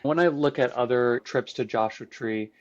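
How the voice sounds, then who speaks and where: A man's voice: medium pitch, moderate pace, muffled recording. A man whose first language is Croatian, explaining things conversationally as an interview guest. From United States, Croatian immigrant family. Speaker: podcast guest, trip guide and composer.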